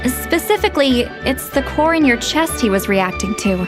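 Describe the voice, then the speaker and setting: A haughty young female scholar from a video game. Her voice sits fairly high and she lays out her knowledge with crisp, articulate confidence. Music plays behind her. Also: lively intonation, normal speed. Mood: confident, explanatory. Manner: articulate, self-assured, explanatory. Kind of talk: video game dialogue, expository storytelling.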